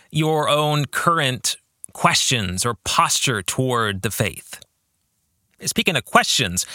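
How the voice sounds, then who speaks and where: Bright-voiced man with lively intonation. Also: medium pitch, dry recording, studio quality. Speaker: podcast host and author. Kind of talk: reflective monologue about faith and fiction.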